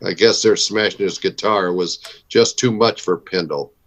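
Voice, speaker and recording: An actor playing a steady, matter-of-fact Old West lawman in a Western radio drama. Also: low-pitched, unhurried, exaggerated voice. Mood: businesslike. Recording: dull band-limited audio.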